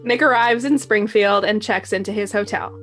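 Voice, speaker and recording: A woman's voice: medium pitch, moderate pace, fairly bright tone. A woman narrating from a script with wry, sardonic asides. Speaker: narrator and commentator. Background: music.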